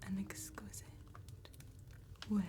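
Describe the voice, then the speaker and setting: A woman playing an elegant, courteous vampire tattoo artist in a soft ASMR roleplay, whispering in a gentle, formal, precise way. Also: slow pace, natural voice. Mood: calm, courteous. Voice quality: breathy whisper.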